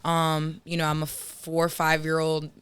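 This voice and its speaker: A young woman hosts a casual conversation, talking reflectively and frankly and leaning on fillers. Her voice is low-ish for a woman but bright and smooth. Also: monotone, unhurried. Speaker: podcast host.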